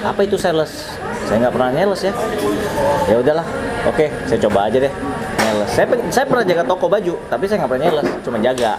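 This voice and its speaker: A man in his thirties from Sumatra, a branch manager telling his career story in a casual interview, relaxed and chuckling often with lots of fillers. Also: on the high side, quick pace, a loud crowd. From Sumatra, Indonesia. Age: 30s.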